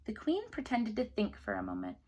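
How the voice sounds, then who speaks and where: A woman's voice: mid-range voice, huge pitch swings, a little fast, a little mellow, slightly rough. A woman teacher reading a story aloud to children, expressively, with chatty questions to the listeners.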